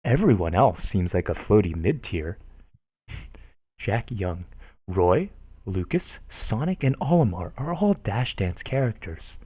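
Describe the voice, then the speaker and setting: Brief: young male streamer, low voice, lively up-and-down delivery, chuckles through forum readouts. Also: unhurried, husky, dry recording. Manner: amused, chuckling asides between read lines. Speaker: streamer reading forum posts. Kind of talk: reading posts aloud with casual commentary.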